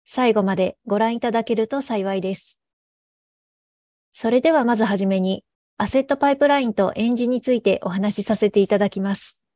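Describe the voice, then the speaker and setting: A woman's voice: medium pitch, fairly quick, warm tone, dry recording, clean studio sound. A woman giving a scripted presentation as a lead artist.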